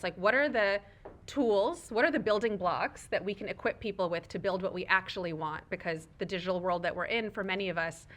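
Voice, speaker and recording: A woman whose first language is Urdu, a guest speaking at a live fireside chat with an audience, thoughtful and expansive, often tagging her points with "right?" and "like". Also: mid-range voice. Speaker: guest speaker, foundation leader. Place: event room with audience.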